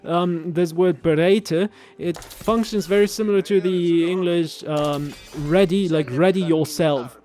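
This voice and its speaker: A man's voice: sits high, fairly even intonation, unhurried, hardly any pauses, darkish tone, faint music behind. A man from Germany, a native German speaker with a German accent, casually explaining words as a co-host. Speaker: co-host explaining game voice lines. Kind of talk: casual language commentary.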